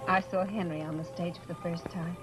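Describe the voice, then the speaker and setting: An actress playing a troubled, earnest wife confiding hesitantly in an old radio horror drama. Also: female voice, fairly low pitch, fairly even intonation, brisk, lightly acted, low-quality recording. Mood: anguished, confiding. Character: troubled, confiding wife recounting her lost love. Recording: old radio recording with music.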